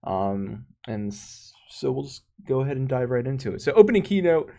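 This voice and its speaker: Male podcast co-host, mid-pitched, casual chat, stop-start and rambling, laughs a lot. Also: very animated, moderate pace, gravelly, dark tone.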